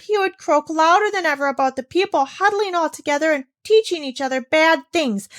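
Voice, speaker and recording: Homesick little Swiss girl played by a woman reader: high, earnest, pleading, distressed, storybook style. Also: fairly bright tone. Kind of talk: children's storybook character reading.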